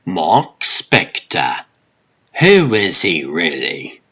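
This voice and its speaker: A man voicing a sadistic, menacing interrogator in a comic-book dub, speaking with slow threat. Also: deep voice, huge pitch swings, a bit bright, pitched below the performer's own voice, heavily acted. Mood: cold menace.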